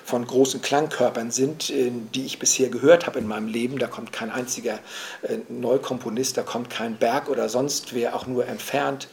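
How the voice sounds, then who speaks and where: Male interviewee, middle pitch, earnest lecturing manner full of pauses and restarts. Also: fairly even intonation, vocal fry, darkish tone, a little hiss or hum. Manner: searching, lecturing, with many hesitations and restarts. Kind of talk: reflective spoken argument, recorded for a radio production.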